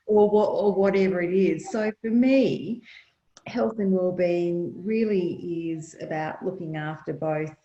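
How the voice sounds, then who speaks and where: A woman's voice: lowish voice, a little slow, mellow, a little hiss or hum. A woman speaking on a panel, earnest and thoughtful, with many fillers and restarts, heard over a video call. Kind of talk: panel discussion answer. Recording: echoey, dull lo-fi video call.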